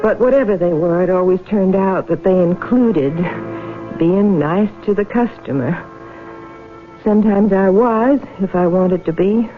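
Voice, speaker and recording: An actress playing a weary, guarded young waitress and farm wife in a wistful 1970s radio drama. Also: female voice, mid-range voice, unhurried, natural voice. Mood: wistful, confessional. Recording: tinny 1970s radio broadcast, music behind.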